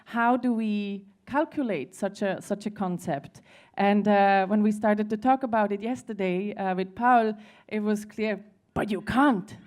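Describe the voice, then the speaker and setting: A woman's voice: medium pitch, unhurried, hardly any pauses, a little mellow. A woman from Switzerland speaking as a panelist in a conversational discussion, often hesitating and repeating words as she searches for them. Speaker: panelist at a conference.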